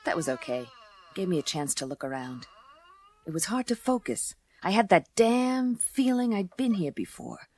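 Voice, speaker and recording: A woman playing a tough, wry space detective in a noir radio play, deadpan and clipped. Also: medium pitch, normal speed, a little hiss or hum, lightly acted. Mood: wry narration. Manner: deadpan, clipped, hard-boiled. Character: tough, wry female space detective narrating her case.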